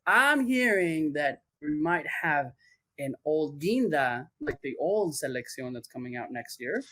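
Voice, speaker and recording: A man's voice: on the high side, big pitch swings, a little slow, bright, forceful tone, no echo. A man talking as a podcast guest about branding work, loose and chatty with many fillers. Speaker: podcast guest who works on athlete branding. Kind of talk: casual podcast chat.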